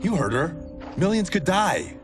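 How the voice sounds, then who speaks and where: An earnest swordsman in a game, kinda high lively voice, a bit far off, loud music. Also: a little slow. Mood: urgent. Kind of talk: video game voice acting.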